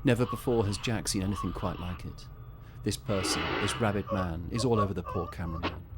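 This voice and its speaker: A man narrating a horror audio drama in a grim, measured, suspenseful manner. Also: deep voice, heavy background noise, natural voice. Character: grim male narrator of a zombie-outbreak story. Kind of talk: scripted dramatic narration, present tense.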